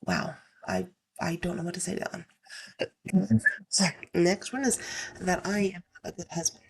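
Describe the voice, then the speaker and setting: A woman hosts a casual story-reading session in a lowish, slightly hoarse voice. There is plenty of fry in it. She moves between reading aloud and offhand commentary in an informal way. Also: sing-song, unhurried. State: slightly hoarse, getting over illness. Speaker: host reading online stories aloud.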